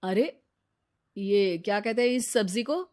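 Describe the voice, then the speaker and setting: A woman's voice: medium pitch, measured pace, darkish tone, natural voice. A woman voicing a young husband with a casual, direct manner in a cartoon moral story. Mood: puzzled. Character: husband, casual, blunt young married man.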